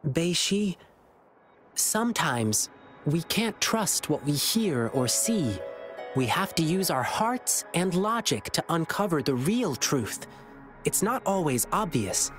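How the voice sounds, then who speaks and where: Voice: mid-range voice, very animated, takes it slowly, soft background music. An actor voicing an earnest, spirited, boyish youth in an anime video game, speaking with care. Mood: gentle, reassuring. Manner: earnest, caring.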